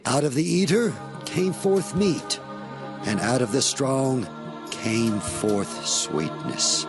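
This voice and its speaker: A man's voice: medium pitch, very animated, takes it slowly, music in the background. A man playing a proud, vengeful young strongman who declaims his challenges in a scripture drama. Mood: boastful challenge.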